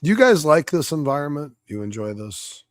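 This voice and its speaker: Man, slightly hoarse, blunt, profane, combative, casual stream commentary, dry close sound. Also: on the high side, very animated, brisk, no room sound. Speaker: debate reviewer streaming live.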